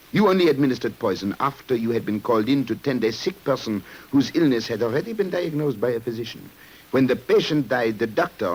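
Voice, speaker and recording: Low-voiced, relentless commissioner, dramatic swings, formal and commanding, old radio mystery. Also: male voice, breathy edge, poor audio. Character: stern, urgent French police commissioner, accusing and relentless. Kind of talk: 1950s radio drama acting. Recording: hissy old radio recording.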